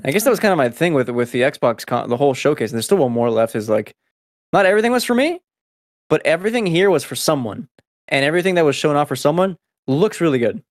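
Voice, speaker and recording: A man who grew up speaking Spanish first, hosting a casual podcast and rattling off news items in quick succession. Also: a little high, big pitch swings, no room sound, clean studio sound. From United States. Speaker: podcast host.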